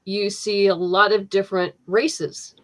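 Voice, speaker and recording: A woman's voice: medium pitch, little pitch movement, a little slow, fairly bright tone. A woman writer being interviewed, talking casually with lots of fillers and restarts. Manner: chatty, many fillers and restarts, laughs easily. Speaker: comic book writer, guest.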